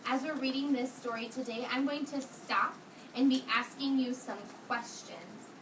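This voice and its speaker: A woman's voice: high voice, normal speed, far from the mic. A woman teaching kindergarten through an interactive read-aloud, asking questions and repeating answers back in a roomy, noisy classroom. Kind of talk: interactive read-aloud lesson for young children. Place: indoor classroom with young children.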